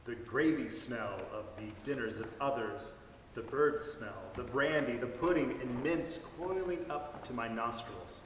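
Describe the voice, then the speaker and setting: A man giving an expressive, savoring dramatic reading of a story. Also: medium pitch, slight background noise. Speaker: reader. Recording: echoey hall from far off.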